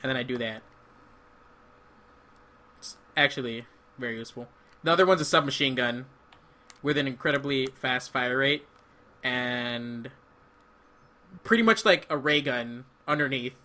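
A man's voice: mid-range voice, unhurried, long pauses, bright, forceful tone. A man chatting casually and jokingly as he plays a co-op video game. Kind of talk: casual co-op gameplay chat.

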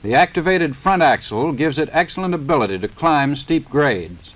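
An American man reading a formal 1930s scripted narration for a training film in a measured, matter-of-fact, instructional manner. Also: on the high side, lively intonation, fairly bright tone. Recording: dull, lo-fi 1930s film soundtrack.

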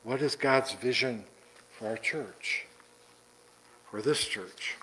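Middle aged pastor man preaching slow from the pulpit, church sanctuary, repeats himself for emphasis. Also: mid-range voice, sharp tone, a little hiss or hum, poor audio. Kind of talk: sermon. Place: church sanctuary, large indoor room.

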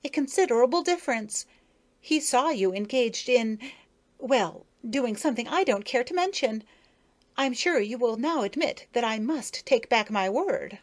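A woman's voice: fairly high pitch, animated, moderate pace, voice pushed up, close to an everyday voice. A woman voicing a haughty young widowed female beetle, fussy and superior.

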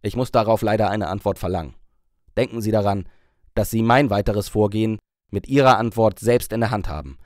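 A male narrator plays a young businesswoman in an audiobook. She is haughty and commanding, delivering measured, cutting reprimands. The recording is dry. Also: lowish voice, no echo, close to an everyday voice. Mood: coolly insistent. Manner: stern, condescending, measured.

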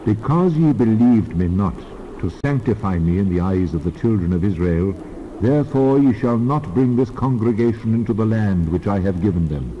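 A stern, commanding divine voice, as a man puts it on, heavy and solemn in a dramatized scripture reading. It sits low and booms out fairly loud. The sound is a little dull and lo-fi. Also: lively intonation, normal speed, slight background noise, lightly acted. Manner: solemn, pronouncing judgment. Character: the Lord, stern commanding male deity.

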